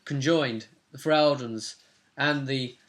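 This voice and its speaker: A man's voice: mid-range voice, slow pace, a bit bright. A man in his twenties casually recapping a tabletop role-play session as game master, recorded on a webcam in a room at home. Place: small domestic room indoors.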